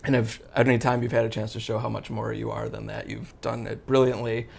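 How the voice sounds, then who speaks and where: A man's voice: on the low side, little pitch movement, fast talker, vocal fry, husky. A man working as an entertainment interviewer, asking casual, winding questions in a tentative, conversational way.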